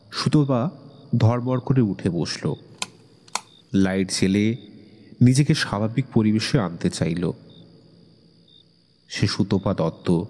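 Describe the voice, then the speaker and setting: Slow, mellow male storyteller in reverb. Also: lowish voice. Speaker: story narrator. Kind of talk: dramatic scripted literary narration. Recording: long studio reverb, music underneath. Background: soft music.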